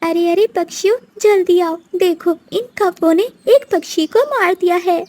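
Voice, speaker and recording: A woman's voice: very high voice, normal speed, a little mellow, no room sound, pitched above the performer's own voice. A woman voicing a scheming, vengeful female bird with an accusing, dramatic manner in a moral-story cartoon. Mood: accusing, alarmed. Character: vengeful, scheming female bird plotting against wicked crows.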